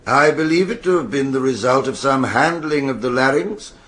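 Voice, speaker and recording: An actor playing an authoritative British forensic pathologist, speaking in a formal, clinical, assured way in a radio courtroom drama. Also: male voice, medium pitch, moderate pace, fairly bright tone, natural voice.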